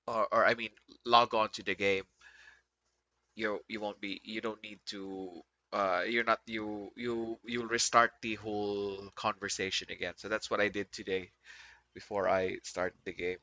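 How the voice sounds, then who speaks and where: Bright-voiced man with low pitch, muffled mic. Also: level tone, measured pace. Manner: rambling, repeats and rephrases himself. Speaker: livestreamer playing a video game. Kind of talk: casual live stream chat. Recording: muffled lo-fi mic, faint music behind.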